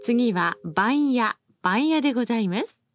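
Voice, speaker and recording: A woman's voice: on the high side, expressive, unhurried, noisy background. A woman reading scripted bus announcements as a recorded announcer, in a formal, polite and carefully enunciated manner.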